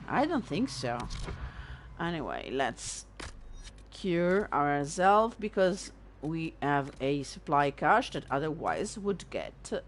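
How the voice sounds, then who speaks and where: Slow, chatty Italian woman gamer. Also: fairly low pitch, a little hiss or hum. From Italy. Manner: chatty, self-deprecating, thinks aloud. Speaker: let's-play host. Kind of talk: casual gameplay commentary.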